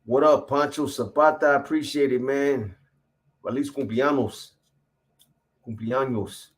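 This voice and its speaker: A man's voice: medium pitch, steady tone, unhurried. A man in his fifties hosting a casual livestream, answering viewer comments in a laid-back, profane, slang-heavy way. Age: 50s.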